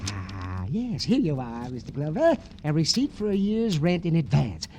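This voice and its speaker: High, sputtering, grasping landlord. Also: male voice, huge pitch swings, normal speed, darkish tone. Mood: giddy, relieved. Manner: flustered, repetitive, sputtering. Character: fussy, grasping, easily cowed male landlord. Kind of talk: 1950s radio comedy-drama acting. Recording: noisy old radio broadcast recording.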